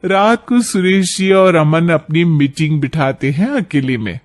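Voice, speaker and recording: A man's voice: on the high side, a little slow. A man narrating a scripted cartoon story.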